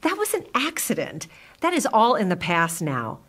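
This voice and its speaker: A woman's voice: medium pitch, wildly swooping pitch, normal speed, a bit bright. A woman playing a guarded, easily flustered doctor with a troubled past in a motion comic, speaking earnestly and hesitantly. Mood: defensive. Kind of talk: motion comic dramatic acting. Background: background music.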